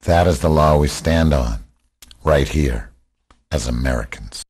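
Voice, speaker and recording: Man acting a very deep, husky rebel leader. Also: animated, a little slow, darkish tone, heavily acted. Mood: solemn. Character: hard, grim male rebel leader in a radio drama.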